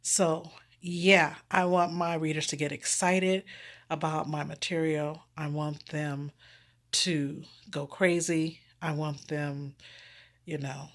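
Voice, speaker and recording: Female author, lowish pitch, informal direct-to-audience monologue, expressive delivery, leans on repeated words for emphasis. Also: slow. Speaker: erotic romance author promoting their own book.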